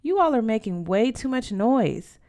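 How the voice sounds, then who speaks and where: A woman's voice: a little high, big pitch swings, a little slow, warm tone, voice pushed up. A woman voicing a dismissive, boy-struck teenage girl with a curt, exasperated manner in an audiobook. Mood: annoyed. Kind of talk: acted audiobook dialogue.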